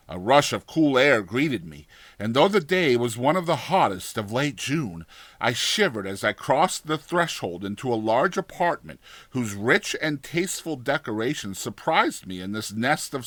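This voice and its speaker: A man reading a gothic horror story as a dramatized audiobook, with deliberate, theatrical delivery and formal, dramatic pauses. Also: mid-range voice, a bit bright, no room sound. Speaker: audiobook narrator.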